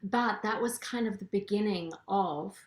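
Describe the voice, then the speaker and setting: Middle-aged woman from zimbabwe telling her story, lively, sounds a bit roomy. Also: medium pitch, a little slow. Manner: animated storytelling, acts out quoted thoughts. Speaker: guest, transformation coach. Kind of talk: personal story in an interview. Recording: roomy echo.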